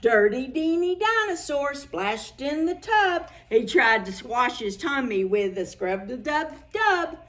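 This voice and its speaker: A woman with a high, very lively voice hosts story time for small children. She reads picture books aloud at an unhurried pace, sing-song and expressive, talking straight to her young listeners. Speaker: children's librarian hosting preschool story time.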